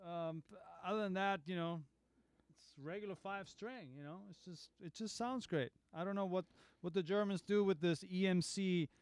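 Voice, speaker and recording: A man, a bass player co-hosting a studio livestream, talking in an easygoing way with frequent pauses and restarts. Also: clear, resonant tone, no echo. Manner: halting, many ums and restarts, easygoing. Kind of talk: casual livestream chat. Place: recording studio.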